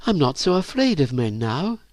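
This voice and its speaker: Storybook role: wistful, self-doubting young slave woman, raised pitch, dry sound male reader acting it. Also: big pitch swings, moderate pace, a little mellow, no room sound. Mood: wistful, timid.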